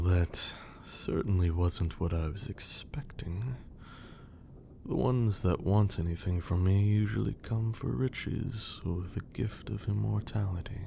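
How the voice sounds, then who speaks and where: Man playing a slow, low, whispering vampire lord. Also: noisy background, heavily acted. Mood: intrigued. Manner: theatrical, drawling, often breathy near-whisper. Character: haughty, menacing, teasing male vampire lord. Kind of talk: ASMR roleplay, scripted character acting.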